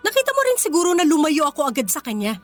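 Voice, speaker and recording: A woman's voice: high-pitched, sing-song, very fast, faint music behind. A woman acting a poor but proud young working woman in a radio drama, speaking with earnest, wounded dignity. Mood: defiant.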